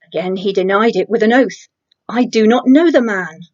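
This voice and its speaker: Middle-aged woman for scripture narration, measured and expressive, mid-pitched, slightly dull home recording. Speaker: church congregation member reading scripture. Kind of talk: scripture reading, dramatic narration of a Gospel. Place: indoor room at home with bookshelves. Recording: dull lo-fi home webcam sound.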